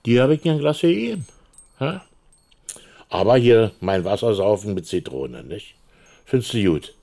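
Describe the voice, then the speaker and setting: An actor playing a gruff older village man in a radio play, blunt, coarse and patronising, with a quick temper. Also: lowish voice, very animated, mellow, full character voice. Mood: angry, contemptuous. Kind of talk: naturalistic German radio play dialogue.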